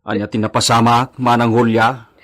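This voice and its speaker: A man's voice: medium pitch, little pitch movement, moderate pace, a bit bright, close to an everyday voice. An actor playing a concerned, inquiring village man with an Ilocano accent in a radio drama.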